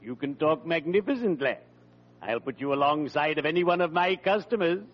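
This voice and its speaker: An actor playing a genteel, cheerfully morbid undertaker with theatrical, ornate speech in an old-time radio comedy. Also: male voice, on the high side, lively intonation, brisk, close to an everyday voice. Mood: drily amused. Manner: genteel, theatrical, drily macabre. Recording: muffled, noisy vintage radio recording.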